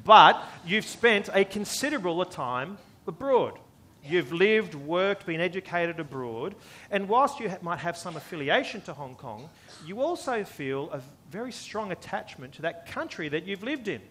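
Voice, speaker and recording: A man with an Australian accent delivers an expository sermon in a notably high voice. He works rhetorically, posing questions and restating phrases to drive each point home. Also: big pitch swings, normal speed, a bit bright, a little hiss or hum. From born in the US, lived most of his life in Australia. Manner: rhetorical, repeats phrases for emphasis, asks and answers questions. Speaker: preacher.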